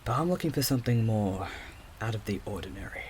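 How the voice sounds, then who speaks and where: A man playing a restless, overthinking investigator with magical sight in an audio drama, muttering haltingly to himself. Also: lowish voice, animated, slight background noise, lightly acted. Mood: searching, muttering.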